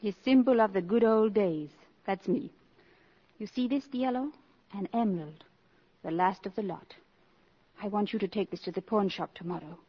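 A woman's voice: medium pitch, normal speed, husky, lightly acted. Female actor, worldly countess, proud, wry, haughty, middle register, 1950s radio drama, dull vintage sound. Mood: weary, wary. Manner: haughty, dry, imperious.

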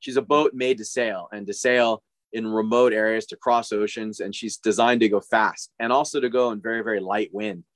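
Low-voiced man in his forties, halting. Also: fairly even intonation, dry recording. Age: 40s. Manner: rambling, halting, many fillers and restarts. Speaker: podcast guest, diver living on a boat. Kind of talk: casual interview chat.

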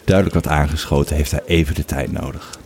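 A man's voice: a bass voice, steady tone, fast talker, a little mellow. A man reading scripted narration for an audio drama.

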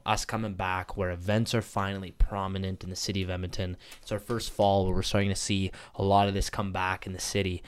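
Chatty low-voiced male podcast host. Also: clean tone. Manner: chatty, upbeat, rambling with slips and fillers. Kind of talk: casual podcast intro monologue.

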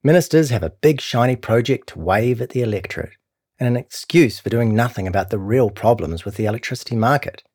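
A man with a New Zealand accent reading a scripted long-form news feature as narrator, in a measured, serious way. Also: mid-range voice, animated, darkish tone, slightly rough, no echo, studio quality. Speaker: narrator of a long-read journalism podcast.

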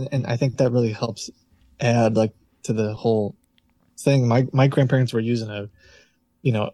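A man answering interview questions in a relaxed, thoughtful way, often laughing. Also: mid-range voice, gravelly, no room sound. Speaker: scam-baiting streamer, guest.

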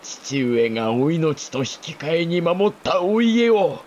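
An anguished, dying samurai lord. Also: male voice, a little high, very animated, a little mellow, highs cut off, slight background noise. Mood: grave confession. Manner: halting, emotional, archaic lordly speech. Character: dying older samurai lord, anguished father confessing fear. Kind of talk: Japanese historical audio drama acting.

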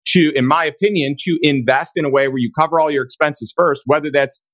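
A man hosting a podcast, his voice hoarse and raspy from days of talking, speaking emphatically and enthusiastically. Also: a little high, steady tone, brisk, fairly bright tone, dry recording. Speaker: podcast host. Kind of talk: casual interview chat.

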